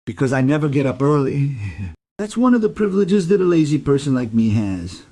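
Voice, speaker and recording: A man's voice: a little high, big pitch swings, a little fast, warm tone. An actor playing a lonely, evasive man in his seventies, rambling politely under questioning in an audio crime drama. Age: 70s.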